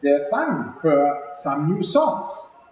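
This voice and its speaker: A man's voice: on the high side, very animated, very slow, a little mellow. A man reading a children's story aloud, speaking directly and expressively to young listeners. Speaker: executive chef reading a children's story for a charity. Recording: very echoey room, faint background noise.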